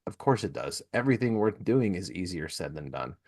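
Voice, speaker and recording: A man with a low voice hosts a relaxed, informal interview. He talks in an earnest, conversational way and sounds encouraging as he responds to his guest. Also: fairly quick. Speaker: podcast host. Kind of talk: casual interview chat.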